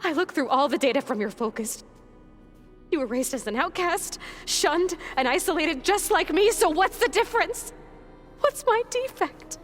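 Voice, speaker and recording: A woman's voice: extremely high pitch, lively intonation, normal speed. An actress playing an anxious, despairing young woman in a video game, pleading and emotionally raw. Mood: anguished. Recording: game dialogue over music.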